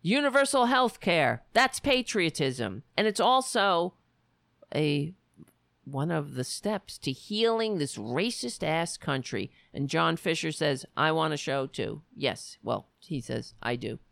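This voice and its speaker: A woman hosting a political livestream with a worn, raspy voice, talking bluntly and sarcastically while reading the chat. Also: sits low, lively intonation, takes it slowly, a bit bright, no room sound. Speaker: livestream show host.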